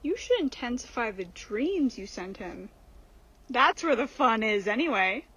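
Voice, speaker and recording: A woman playing a sly, bossy seductress spirit in a radio horror drama, coaxing her friend with drawn-out, emphatic words. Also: a little high, big pitch swings, a bit bright, husky, heavily acted, poor audio.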